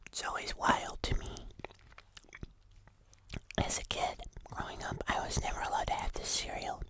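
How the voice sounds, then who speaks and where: An ASMR host speaking entirely in a soft, unvoiced whisper, chatting casually through a food review. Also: moderate pace.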